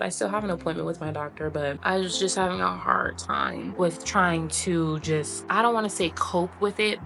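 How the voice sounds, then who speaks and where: A young woman in casual chat, rambling with many fillers and restarts, then turning earnest and encouraging. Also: fairly low pitch. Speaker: vlogger. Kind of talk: casual chat while getting ready. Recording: music playing underneath.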